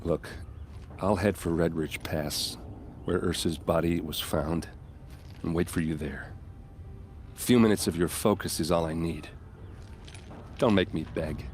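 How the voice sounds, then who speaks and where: Brief: blunt hothead soldier, deep voice, faint score. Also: male voice, a little slow, pauses often, a little mellow, a little hiss or hum. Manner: brash, hot-tempered, blunt. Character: gruff young male soldier grieving his sister. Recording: game cutscene with faint music.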